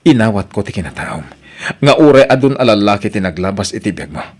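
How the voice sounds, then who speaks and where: An actor as a husky, wronged Ilocano husband. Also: male voice, lowish voice, fairly quick, a little mellow, close to an everyday voice. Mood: hurt, angry. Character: weary, wronged Ilocano husband and worried father. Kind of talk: radio-drama acting.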